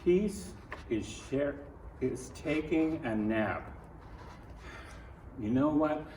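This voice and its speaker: Slow-reading older man in an echoey room. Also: on the high side, expressive, dark tone. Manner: folksy, grandfatherly, speaks directly to children. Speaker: storybook reader. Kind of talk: read-aloud of a children's picture book with chatty asides. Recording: roomy echo, distant mic, noisy background.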